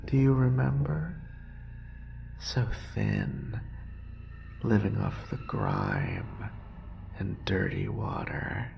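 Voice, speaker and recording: A man playing an obsessive, murderous, possessive lover in a horror roleplay audio drama, with a breathy, gravelly voice and lingering repetitions. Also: fairly low pitch, big pitch swings, a little mellow, a little hiss or hum, heavily acted. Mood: obsessive, crooning. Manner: breathy whispering, drawn-out sighs, lingering repetitions.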